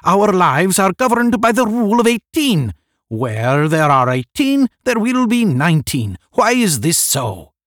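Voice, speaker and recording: A man voicing an excitable cosmic creature, crying out urgently in a science fiction audiobook. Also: high voice, takes it slowly, hardly any pauses, dry recording, clean studio sound, pitched above the performer's own voice, heavily acted. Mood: insistent. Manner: urgent, declamatory shouting.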